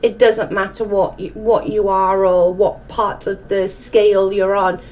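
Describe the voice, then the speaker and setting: A woman's voice: medium pitch, flat delivery, measured pace, a little mellow. Welsh woman chatting over muffled, noisy audio. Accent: South Welsh. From South Wales. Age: 40s. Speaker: livestream host. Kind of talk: casual chat with live viewers, reading out comments. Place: dim indoor room at home. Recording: muffled, clearly noisy room recording.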